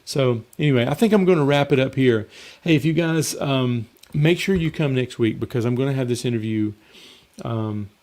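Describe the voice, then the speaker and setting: A man hosting a casual live Q&A as a mixing coach, slightly hoarse and rambling in a conversational way. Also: mid-range voice, moderate pace, darkish tone. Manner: rambling and conversational, with frequent fillers and restarts. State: slightly hoarse, losing his voice. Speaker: mixing coach and show host.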